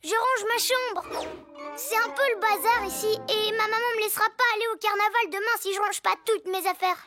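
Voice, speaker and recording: An actor voicing an eager six-year-old boy superhero in a French children's cartoon, playful, forgetful and excitable, with music behind. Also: very high-pitched, fairly quick. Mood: flustered, eager. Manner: exclamatory, excitable.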